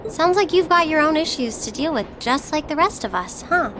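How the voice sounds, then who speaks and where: An exasperated young female student in a game cutscene. Her high voice is blunt and chiding. A clear rumble is heard behind it. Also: expressive, moderate pace, bright, forceful tone. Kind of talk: video game cutscene dialogue acting.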